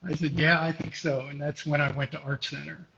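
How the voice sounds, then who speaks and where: A middle-aged man from Houston, a guest photographer, talking hesitantly with many pauses and restarts in a live interview over a video call. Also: fairly high pitch, level tone, gravelly, sharp tone, dull audio, slight background noise. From Houston, Texas.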